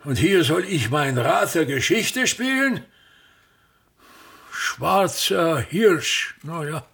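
Grumbly pompous old actor in a radio play, slow theatrical drawl, very lively pitch. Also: male voice, creaky, exaggerated voice. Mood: disdainful. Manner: pompous, theatrical, drawn-out declaiming. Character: pompous, grumbling old state actor, scornful of provincial inns.

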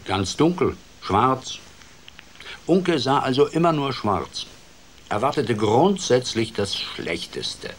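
An actor playing a playful storyteller who tells a children's fairy tale in an expressive, theatrical way for a radio drama. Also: male voice, medium pitch, takes it slowly, a little hiss or hum. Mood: playful, musing.

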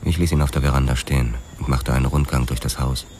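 A man's voice: a bass voice, fairly even intonation, fast talker, a little mellow, slight background noise. An actor playing a weary, hard-boiled policeman who narrates his own past in a crime radio drama. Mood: grim, restrained. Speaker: first-person narrator. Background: music.

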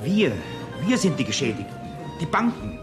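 An actor playing an aggrieved, agitated savings bank director in a radio play. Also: male voice, on the high side, big pitch swings, normal speed. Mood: indignant. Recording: 1970s radio play, music behind.

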